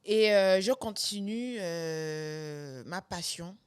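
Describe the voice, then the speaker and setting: This is a young woman from Gabon, worn out from a sleepless night as a new mother. Her voice sits a little low. She pauses on fillers and laughs between phrases as she tells her story. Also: clean tone. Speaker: singer guest. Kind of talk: casual interview talk.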